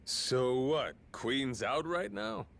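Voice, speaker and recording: An actor playing a cocky, irreverent pirate captain in a video game, with a slangy, mocking, street-tough manner. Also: male voice, medium pitch, very animated, slow pace, gravelly, a bit bright, full character voice. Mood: wary, sardonic. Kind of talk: dramatic video game voice acting. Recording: game music behind.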